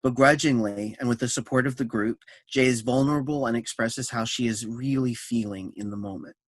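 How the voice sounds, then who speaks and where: A man's voice: medium pitch, steady tone, brisk, dry recording. A man reading scripted stage directions and scene summaries for a staged play reading.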